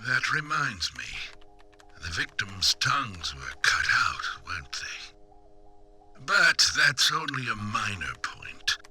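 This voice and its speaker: An actor playing an eccentric, knowing businessman in a video game, dramatic and drawn-out, with a rough, breathy voice that often drops to a whisper. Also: lowish voice, sing-song, sharp tone, faint music behind, full character voice. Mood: cryptic, knowing. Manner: dramatic, drawn-out, cryptic, lecturing. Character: eccentric, theatrical, knowing rich businessman informant. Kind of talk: theatrical video game voice acting.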